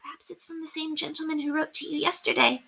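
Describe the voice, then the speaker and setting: A meek, nervous maid with a small squeaky voice, put on by a woman reading aloud. She speaks high and softly, deferring hesitantly to her betters in a storybook tale. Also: steady tone, fast talker, gravelly, exaggerated voice. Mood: timid. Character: timid, anxious, squeaky young housemaid. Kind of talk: children's storybook read aloud.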